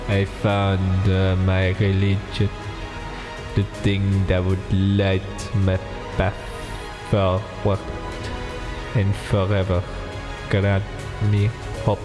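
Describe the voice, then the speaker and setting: A man reading a visual novel aloud for a let's play, slow and dramatic, stopping to joke with a friend. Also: sits low, monotone, in a large hall, music in the background. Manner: reads slowly with pauses, breaks off to joke and laugh.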